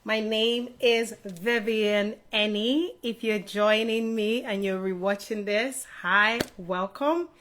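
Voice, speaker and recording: Casting: middle-aged Nigerian-born woman, livestream host, mid-pitched voice, informal and chatty, speaking straight to viewers. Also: steady tone, slow pace, hardly any pauses, fairly bright tone. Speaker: live stream host, HR career adviser. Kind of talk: casual live stream Q&A chat to viewers.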